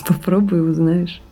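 A woman's voice: fairly low pitch, dark tone. An actress playing a candid, reflective woman in an audio drama, talking in a confiding, opinionated way. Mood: frank, resolute. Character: candid, reflective woman, intimate partner hoping for motherhood.

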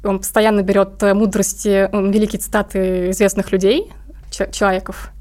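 Female interviewee, medium pitch, informal conversational storyteller. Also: level tone, brisk, darkish tone. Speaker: interviewed comic artist and cat owner.

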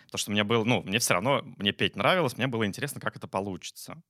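A man's voice: lowish voice, rapid-fire, pushed and bright, no echo, clean studio sound. A man co-hosting a talk as a psychologist, chatting casually and reflectively, often searching for words. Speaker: psychologist and talk show co-host.

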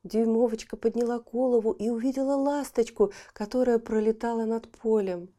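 Lady reading a kids fairy tale, very warm dark tone, expressive, goes hushed sometimes. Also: a little high, normal speed. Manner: expressive storytelling, dropping to a hush at times. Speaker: audiobook narrator. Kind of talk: scripted fairy tale narration for children.

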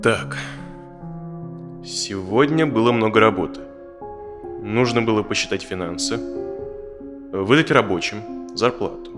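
An actor playing an uneasy therapy client in his thirties in an audio drama, speaking in a halting, reflective way. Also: lowish voice, over music, close to an everyday voice. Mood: reflective, tired. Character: uneasy, tired thirty-five-year-old male therapy client.

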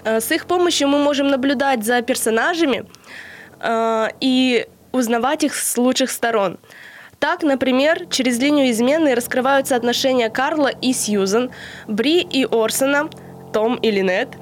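Podcast co-host, woman, early twenties, higher-pitched, measured explainer with small hesitations. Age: early 20s. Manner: measured, lecture-like delivery with occasional hesitations. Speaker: podcast co-host presenting the theory segment. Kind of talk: explaining from prepared notes, then casual chat.

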